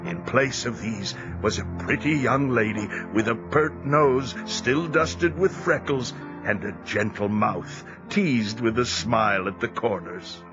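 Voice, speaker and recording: A man playing a reflective seaman who narrates memories of his life in a measured storytelling manner for an audio drama. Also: mid-range voice, dull audio, lightly acted. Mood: fond, nostalgic. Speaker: first-person narrator. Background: music.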